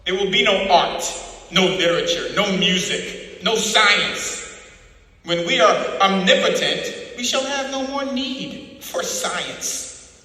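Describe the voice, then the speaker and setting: A man's voice: high-pitched, takes it slowly, sharp tone, pitched above the performer's own voice, exaggerated voice. A man voicing a cold, zealous interrogator in a dramatic novel reading, declamatory and emphatic like a schoolmaster. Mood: fanatical, booming. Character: cold, zealous male interrogator preaching absolute power. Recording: very echoey hall, long reverb.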